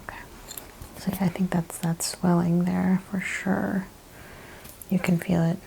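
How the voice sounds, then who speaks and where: A woman plays a careful chiropractor in an ASMR roleplay, speaking in soft whispers and murmurs. Her voice is mellow, with creaky edges, and she guides the listener gently step by step. A faint noise floor sits behind her. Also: on the low side, little pitch movement, unhurried, poor audio. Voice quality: soft, breathy, often whispered. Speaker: ASMR host playing a chiropractor examining a patient.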